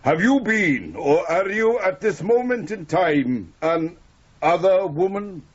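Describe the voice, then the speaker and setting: An actor in a radio drama playing a jilted, vengeful poet with a pompous, grandiloquent, theatrical manner. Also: male voice, high voice, animated, takes it slowly. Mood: grandly vengeful. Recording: dull muffled recording with background noise.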